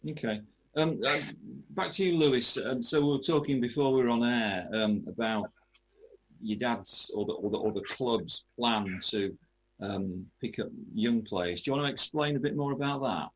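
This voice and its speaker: A man's voice: medium pitch, moderate pace, a bit bright, a little hiss or hum, poor audio. A man hosting a casual radio interview, feeling his way hesitantly through his questions.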